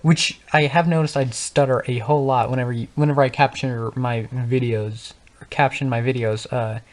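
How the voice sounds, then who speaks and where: A man's voice: mid-range voice, fairly even intonation, moderate pace. A man talking off the cuff over gameplay, stuttering and stumbling over his words, with lots of fillers as he thinks aloud. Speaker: gaming channel host. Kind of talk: unscripted casual talk over gameplay.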